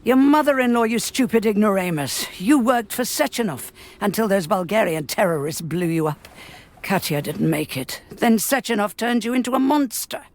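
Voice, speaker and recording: Actress as a cantankerous, sharp-tongued old woman, swooping pitch. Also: lively intonation, normal speed, natural voice. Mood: bitter. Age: older. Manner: scolding, blunt, swooping between snapping and grieving. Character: cantankerous, sharp-tongued, vengeful old mother-in-law of a soldier. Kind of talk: video game voice acting.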